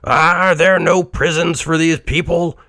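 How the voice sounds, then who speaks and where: Man doing a high, cranky old miser. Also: steady tone, a little slow, sharp tone, no room sound, clean studio sound. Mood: snappish. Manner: snappish, cranky and clipped. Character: greedy cold-hearted miserly old man.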